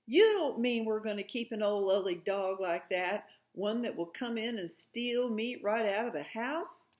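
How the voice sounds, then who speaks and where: A woman's voice: mid-range voice, a little slow, darkish tone, pitched above the performer's own voice, lightly acted. Storybook farm boy, indignant, folksy, always protesting, played by a woman. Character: stubborn, indignant older frontier boy.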